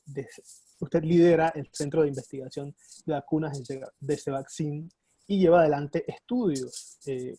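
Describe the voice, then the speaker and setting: Man, interview host, courteous, husky, dry close sound. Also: fairly high pitch, dark tone, no room sound. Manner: courteous, addresses guest as doctor. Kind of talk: interview questions.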